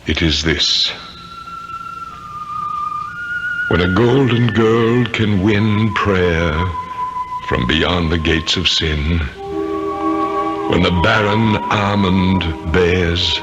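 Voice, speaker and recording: Centuries-old tormented murderer's ghost: very low, deliberate, theatrical 1970s radio drama delivery. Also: male voice, lo-fi sound. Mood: wistful, solemn. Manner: slow, solemn, theatrical delivery with dramatic repetitions. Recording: 1970s radio broadcast with music.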